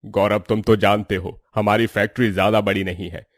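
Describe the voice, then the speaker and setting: Man as a low-voiced, unfeeling boss. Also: level tone, fairly quick, no room sound, studio quality, close to an everyday voice. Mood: firm, dismissive. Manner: firm, curt. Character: stern, unfeeling male factory boss.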